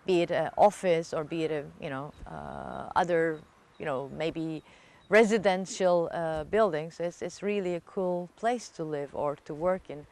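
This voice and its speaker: A woman with a slightly low voice talks casually in an interview. She pauses often, repeats words and leans on informal emphasis as she thinks aloud. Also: a little mellow. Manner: halting, repetitive, informal emphasis.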